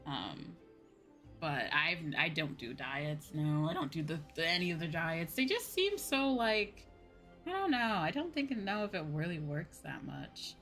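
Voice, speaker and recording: A woman's voice: deep voice, very animated, measured pace, fairly bright tone. A woman chatting casually and expressively as she hosts a live gaming stream, rambling conversationally with viewers. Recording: music playing behind the voice. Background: music.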